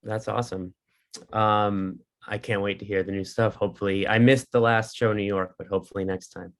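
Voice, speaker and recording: A man's voice: fairly low pitch, fairly even intonation, brisk. A man hosting a music podcast from his apartment, chatting casually with lots of ums and likes. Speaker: podcast host interviewing a band. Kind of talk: casual interview chat over video call.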